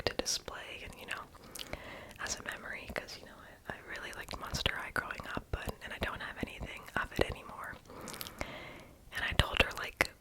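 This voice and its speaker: A young adult whispering a casual, rambling ASMR voiceover, breathy and unvoiced, with soft mouth sounds. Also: low-quality recording. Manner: casual, hesitant rambling with many likes. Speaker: ASMR video creator.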